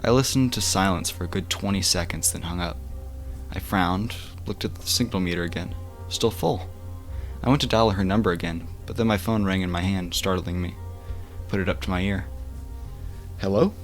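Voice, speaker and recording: A man's voice: sits low, brisk. A man reading a first-person horror story aloud as bedtime narration, like an amateur audiobook with the occasional stumble. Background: music.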